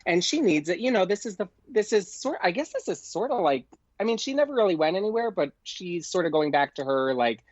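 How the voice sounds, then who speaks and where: A man's voice: high voice, very animated, brisk, few pauses. Guy guest on a podcast, gushy casual chat, lots of likes, kinda dull lofi mic, no echo. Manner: gushing, effusive, frequent filler words. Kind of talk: casual pop culture chat. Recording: dull lo-fi dry audio.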